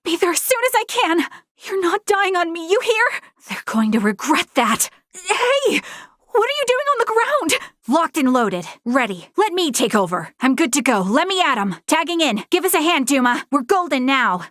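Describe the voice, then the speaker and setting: Young game heroine, high animated voice, earnest and determined soldier, spirited crisp call-outs, dry studio sound. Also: female voice, moderate pace, no room sound. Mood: alarmed, urgent, then brisk, ready. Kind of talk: video game battle voice lines.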